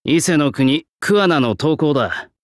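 A young male swordsmith from an anime-style game, proud of his craft and plain-spoken. His voice sits a little high and swings widely in pitch. He talks in rough, old-fashioned workman's phrases, recorded dry with no room sound. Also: expressive, unhurried, dry recording. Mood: proud, upbeat. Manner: rough, blunt, old-fashioned working-class turns of phrase. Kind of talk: anime-style mobile game character lines.

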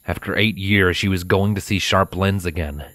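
A man's voice: deep voice, normal speed, fairly bright tone, dry recording. A man narrating a scripted story in a dramatic audiobook style.

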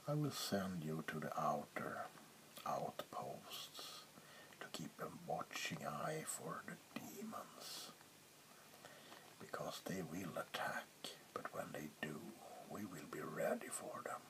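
An ancient vampire warlord acted by a man whispers in a low, breathy ASMR roleplay voice. He speaks extremely slowly and deliberately. He is cold, power-hungry and menacing, commanding without ever needing volume. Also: very animated, exaggerated voice. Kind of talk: soft-spoken ASMR roleplay monologue. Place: small wood-panelled room indoors.

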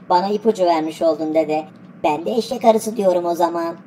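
Woman as an eager, mellow-voiced cartoon schoolchild. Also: lowish voice, normal speed, slight background noise, natural voice. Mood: thoughtful, polite. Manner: eager, polite. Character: eager schoolchild grandchild answering grandfather's quiz. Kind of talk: educational cartoon dialogue.

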